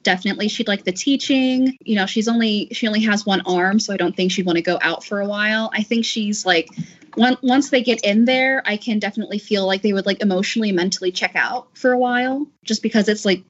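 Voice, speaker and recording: A woman's voice: medium pitch, brisk. A woman chatting casually on a discussion panel, informal, often saying "like" as she works out her thoughts. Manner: informal, frequent "like", trailing thoughts. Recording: dull, lo-fi audio.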